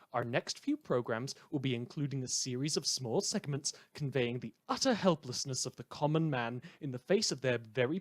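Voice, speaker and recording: A man playing a wry community radio host in a fan audio drama, delivering scripted narration in a measured, theatrical deadpan. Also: mid-range voice, close to an everyday voice. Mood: dry, wry. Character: wry male community radio host telling eerie tales.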